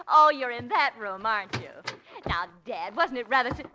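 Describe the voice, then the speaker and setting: A woman's voice: on the high side, animated, unhurried. A young woman playing an anxious daughter in peril, in 1940s radio acting style. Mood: uneasy searching. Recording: noisy old 1940s radio recording.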